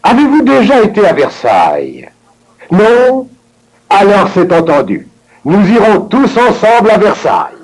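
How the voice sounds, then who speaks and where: Man, lively up-and-down pitch, theatrical line reading, language lesson dialogue. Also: unhurried, rough recording. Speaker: language course voice actor.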